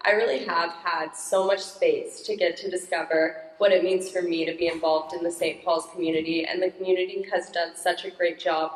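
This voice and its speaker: A teenage girl speaking as a guest, with a naturally loud, upbeat voice, into a handheld microphone in a church. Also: lowish voice, steady tone, fairly quick, hardly any pauses. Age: teens. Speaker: young parishioner guest. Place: large church sanctuary. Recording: echoey church hall.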